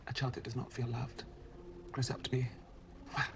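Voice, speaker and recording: An actor playing a composed, clinical official who speaks formally and matter-of-factly, in naturalistic screen drama. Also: male voice, mid-range voice, monotone, gravelly, lightly acted. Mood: coolly persuasive. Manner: measured phrasing, formal, matter-of-fact. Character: composed, clinical male official defending his organisation. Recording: noisy location sound.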